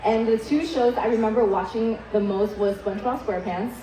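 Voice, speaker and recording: A woman's voice: a little high, fairly even intonation, brisk, crowd noise. A young woman from Vietnam, whose first language is Vietnamese, giving a keynote speech and telling her story in a candid, casual way with many "likes" and "ums". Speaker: keynote speaker. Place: event hall. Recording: echoey event hall.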